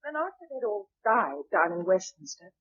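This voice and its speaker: Give a tender, capable young British sweetheart in a radio play. Her voice is breathy and animated, full of emotion with a wry edge. The old recording sounds dull. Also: medium pitch, moderate pace. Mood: brisk. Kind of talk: British radio drama acting.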